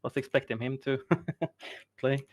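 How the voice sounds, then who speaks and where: A man's voice: mid-range voice, flat delivery, a little slow, no room sound, studio quality. A Swedish man with a Swedish accent, modestly answering interview questions in a casual chat and laughing often. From Sweden. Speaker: interviewed tournament winner.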